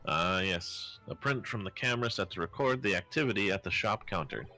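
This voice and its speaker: A man voicing a pompous, boastful gentleman inventor in a fan dub of a game, speaking in grand, theatrical period phrasing. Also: low-pitched, animated, a little fast, full character voice. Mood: grandiose.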